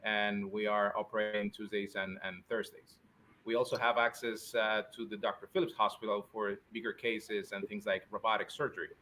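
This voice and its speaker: Male doctor from spain on an interview, lowish voice, halting delivery, bit of background noise. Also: little pitch movement, bright, forceful tone. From born in Spain, Puerto Rican and Spanish family. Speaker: guest, urologist. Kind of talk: conversational interview answers.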